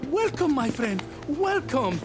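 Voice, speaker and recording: A fawning Italian restaurant owner for a crime game. He speaks slowly and effusively with a strong up-and-down lilt and an Italian accent, with loud music behind him. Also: very high-pitched, very animated, full character voice. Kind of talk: cinematic crime game acting.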